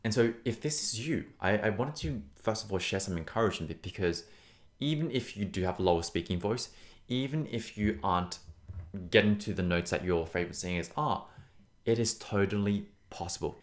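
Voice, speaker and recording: A man's voice: on the low side, animated, normal speed. A young man who teaches singing, talking to camera in an earnest, encouraging way and often repeating words for emphasis. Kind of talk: casual teaching vlog. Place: Australia.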